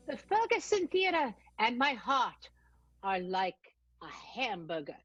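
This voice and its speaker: Woman playing a husky, determined ex-showgirl. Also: fairly high pitch, very animated, slow, fairly bright tone, faint music behind, heavily acted. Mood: earnest, determined. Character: determined, lovestruck female former showgirl. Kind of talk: improvised radio soap opera acting. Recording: dull, lo-fi, dry close recording.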